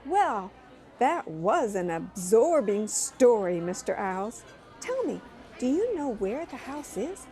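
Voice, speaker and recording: Female actor as young magazine reporter, highish voice, very animated intonation, polite, inquisitive, audio drama. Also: slow, faint music behind. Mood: curious.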